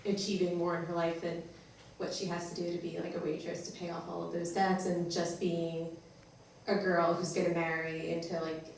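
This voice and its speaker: A woman's voice: lowish voice, monotone, moderate pace, gravelly. A woman talking informally as a performer about a role, hesitant, self-deprecating and thinking aloud. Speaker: performer discussing her character. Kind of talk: informal panel discussion. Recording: echoey room, distant mic, faint noise.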